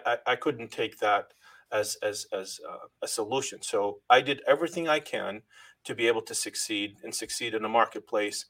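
A man's voice: fairly low pitch, fairly bright tone. A middle-aged man originally from Lebanon, a guest in an interview, telling his life story earnestly and thanking God often, with frequent restarts.

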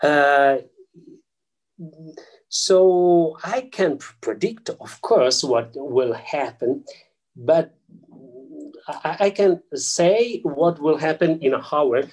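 A man with an Italian accent, a non-native English speaker, explains physics and theology as an interview guest, pausing often with filler sounds and restarting his sentences. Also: on the high side, lively intonation, darkish tone, poor audio. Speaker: guest scholar.

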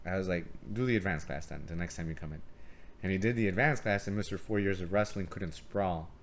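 Low-voiced middle-aged man, gym-owner coach type, strong carrying voice, animated storyteller. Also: a little fast, a little hiss or hum. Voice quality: strong voice that carries. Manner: animated storyteller, acts out quoted arguments. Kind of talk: casual podcast storytelling.

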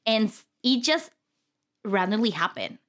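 A woman's voice: medium pitch, very animated, measured pace, fairly bright tone. A woman in her thirties with a Mexican Spanish accent, chatting as a podcast guest, giggly, with loose grammar. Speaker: podcast guest, lifestyle blogger. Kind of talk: casual interview chat.

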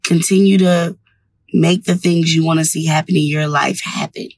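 A woman's voice: deep voice, steady tone, moderate pace, darkish tone. A woman hosting a radio show, delivering a scripted motivational monologue in an encouraging, instructive manner.

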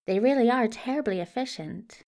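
A woman's voice: on the high side, normal speed, noisy background, natural voice. A woman voicing a polite, agreeable male hero of the gentry, genteel and a little hesitant, in an audio drama. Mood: agreeable, conciliatory. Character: polite, agreeable, slightly flustered gentleman hero.

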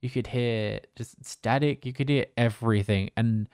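Man, streamer giving tips, relaxed and rambling, clear resonant voice, dry close condenser mic. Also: medium pitch, moderate pace, dry recording. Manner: relaxed, rambling, with fillers and restarts. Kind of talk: casual advice talk to camera. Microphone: condenser desk mic with pop filter.

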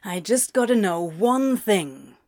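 Audiobook role: tense young male student played by a woman, short-fused and blunt, slow, weighted delivery. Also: mid-range voice, lively intonation, dry recording, studio quality. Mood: angry, accusing. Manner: clipped, blunt, snapping.

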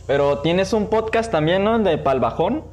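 A man's voice: sits high, lively intonation, moderate pace, darkish tone. A man with a Mexican accent hosting a podcast in a casual, slangy, chatty way. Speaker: podcast host. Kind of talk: casual chat.

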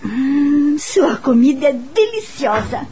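An actress playing a kind, curious young female teacher in a Brazilian radio drama, expressive and musing, with a Brazilian accent. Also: sits high, takes it slowly, a little hiss or hum, exaggerated voice. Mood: friendly, calm. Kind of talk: radio drama acting and first-person narration. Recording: music bed behind the voice. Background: music.

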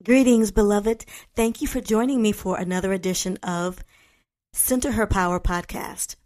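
A woman's voice: mid-range voice, moderate pace, darkish tone. A woman hosting a podcast, introducing her guest and asking interview questions.

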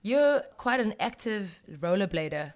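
A woman's voice: medium pitch, very animated, unhurried. A woman hosting a casual interview, chatty and supportive, phrasing things loosely and conversationally. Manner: supportive, chatty, uses like often. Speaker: interviewer, host.

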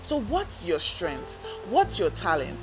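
A woman's voice: lowish voice, wildly swooping pitch, slow pace, over music, rough recording. A woman hosting a self-help podcast, talking earnestly and coaching listeners with direct questions. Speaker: podcast host. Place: talking alone into a microphone.

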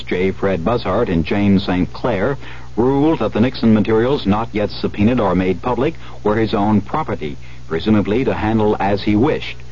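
A man with an American accent reads the news in a very low voice. His delivery is that of a scripted radio bulletin. The recording is muffled, with a faint hiss. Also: darkish tone.

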